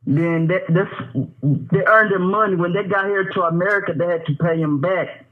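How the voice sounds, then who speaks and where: A man's voice: high voice, fairly even intonation. Rambling man on a tinny phone line. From Tanzania. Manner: rambling, halting, lots of fillers. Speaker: caller.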